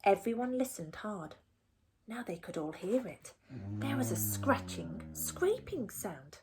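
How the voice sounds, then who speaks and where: A woman reading a picture book aloud for young children in an expressive, deliberate storytelling way. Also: mid-range voice, crowd noise. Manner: animated, deliberate, with asides to the listeners.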